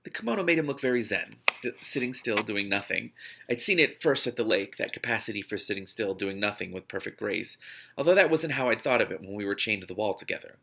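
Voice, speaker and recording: A man's voice: on the low side, expressive, brisk, vocal fry, bright, forceful tone. A man reading a novel aloud expressively, breaking off into spontaneous amused and exasperated asides as host. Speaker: host of an online read-aloud series.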